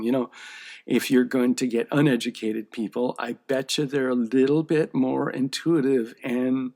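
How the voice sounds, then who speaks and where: A man's voice: mid-range voice, darkish tone. A man who draws comic books, talking in a casual, rambling monologue that often checks in with "okay?". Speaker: comic book artist.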